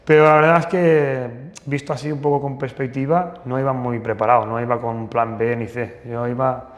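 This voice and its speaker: Man, mid-pitched voice, halting storyteller, reverberant hall. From northern Portugal. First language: Portuguese. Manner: hesitant, many restarts and fillers, animated anecdotes. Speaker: plastic surgeon guest. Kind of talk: casual interview storytelling.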